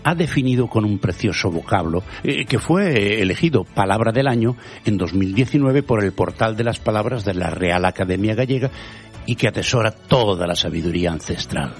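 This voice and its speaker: Expressive man reading a scripted editorial. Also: on the low side, faint music behind. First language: Galician. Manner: measured, rhetorical, quotes proverbs and thinkers. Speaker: radio show host.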